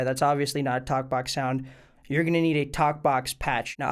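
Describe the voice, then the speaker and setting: Higher-voiced man giving a casual tutorial. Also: smooth. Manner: informal, plain step-by-step explaining. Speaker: host of a music gear tutorial channel. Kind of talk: casual instructional video tutorial.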